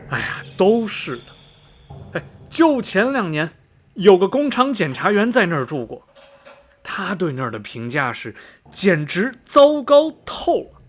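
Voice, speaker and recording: A man acting in a radio drama as a chatty, superstitious small-town local, telling rumours in a folksy, animated way full of interjections. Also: sits high, measured pace, exaggerated voice. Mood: eerie, dramatic. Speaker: actor in an amateur student radio drama.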